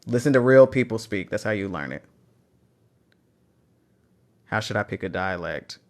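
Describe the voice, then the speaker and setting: A man's voice: fairly low pitch, animated, moderate pace. A man with a Texan accent hosting a live phone stream, reading out chat questions and encouraging language learners. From Texas. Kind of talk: casual livestream Q&A.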